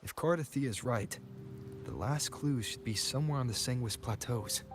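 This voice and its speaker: A man's voice: mid-range voice, normal speed, noisy background, lightly acted. A man playing an earnest, thoughtful young champion in a video game, speaking seriously and with composure. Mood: pensive, uneasy.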